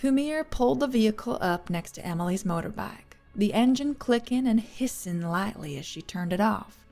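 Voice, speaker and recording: A woman's voice: mid-range voice, lively intonation, moderate pace, darkish tone, soft background music. A woman reading scripted third-person fiction as an audiobook narrator.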